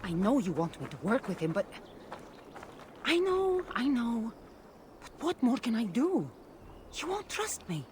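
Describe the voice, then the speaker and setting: A woman's voice: on the high side, big pitch swings, measured pace, pauses often. An actress playing an exasperated, determined woman warrior in a video game, speaking emphatically and earnestly. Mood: weary, troubled. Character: exasperated, determined female warrior with secret knowledge. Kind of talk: dramatic video game acting. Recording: loud ambient noise behind.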